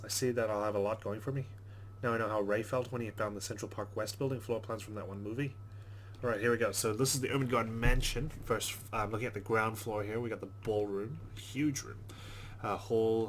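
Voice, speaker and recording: A man's voice: on the low side, fairly even intonation, fairly quick, slight background noise. A man hosting a let's play, giving casual game commentary and reading the game's text aloud.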